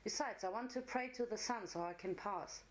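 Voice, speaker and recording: A woman's voice: mid-range voice, moderate pace, natural voice, rough recording. A woman voicing an earnest, curious young unicorn filly, an eager daydreamer in a fantasy story reading. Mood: cheerful.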